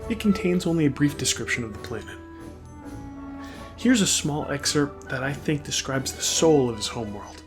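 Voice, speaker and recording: A man's voice: mid-range voice, lively intonation, moderate pace. A man delivering comedic scripted narration in a sardonic, mock-grand manner, tossing in irreverent asides. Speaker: narrator of a comedic fan lore video. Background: background music.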